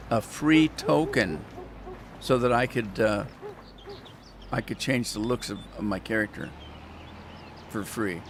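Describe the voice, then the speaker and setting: A man's voice: medium pitch, noisy background. Rambling, chatty man gaming. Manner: rambling, chatty, joking asides to viewers. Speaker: gaming channel host. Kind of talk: casual gameplay commentary.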